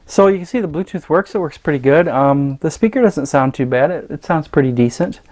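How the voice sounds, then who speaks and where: Halting man giving a casual demo, dry sound. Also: a little high, animated, a little mellow, no room sound. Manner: hesitant, halting, rambling. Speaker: gadget reviewer. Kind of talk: casual unscripted product demonstration.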